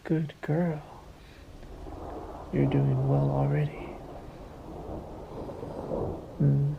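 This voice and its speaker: A man's voice: little pitch movement, narrow, tinny audio, background noise. A man voicing a sadistic, possessive vampire master in an ASMR roleplay, coaxing and commanding in a condescending murmur that often sinks into a whisper. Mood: hungry, seductive. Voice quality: low gravelly murmur, often sinking into whisper. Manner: very slow, deliberate, coaxing and commanding.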